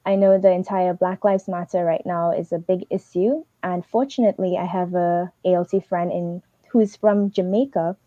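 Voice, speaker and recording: A young woman from Trinidad and Tobago, giving fast, earnest advice as a teaching assistant on a livestream. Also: lowish voice, fairly even intonation, mellow, dry recording, dull audio. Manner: fast, earnest, advising directly.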